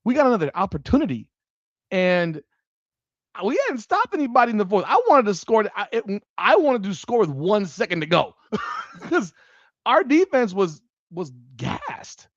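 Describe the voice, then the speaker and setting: A man in his forties hosting a casual sports podcast, animated and swearing freely as he rambles like a fan. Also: sits high, normal speed, no echo. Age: 40s. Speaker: podcast host. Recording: dull dry lo-fi sound.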